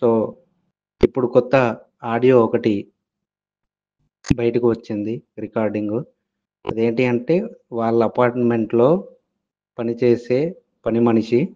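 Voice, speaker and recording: A man's voice: medium pitch, unhurried, pauses often, mellow. A man speaking as a channel host, introducing an audio recording in a narrated style. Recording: dull, lo-fi voice recording.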